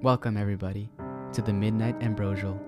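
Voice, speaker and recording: A man voicing a seductive, slightly unhinged female cooking show hostess with a breathy, low voice. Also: level tone, quick pace, loud music behind the voice, natural voice. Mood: sultry.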